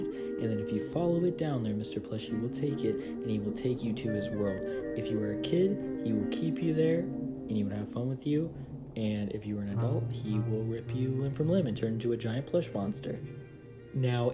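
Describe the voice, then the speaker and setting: A young man hosting a casual countdown commentary, emphatic and repeating words for stress. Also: mid-range voice. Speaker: video host. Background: loud music with guitar.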